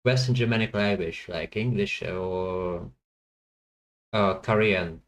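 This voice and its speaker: A man streaming a language-guessing game, thinking aloud in a halting way with many fillers and self-corrections, and chatting to viewers between guesses. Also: low voice.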